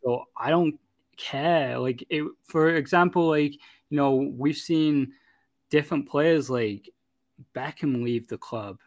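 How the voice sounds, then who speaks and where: A man's voice: on the high side, slow, no room sound. Rambling man, dry sound. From Manchester, England. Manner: rambling, many fillers and restarts. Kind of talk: casual chat.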